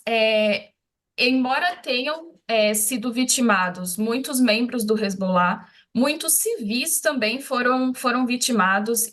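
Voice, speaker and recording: A Brazilian woman speaking as an expert guest in a live news interview, explaining in a measured way with frequent tag questions. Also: fairly high pitch, a bit bright.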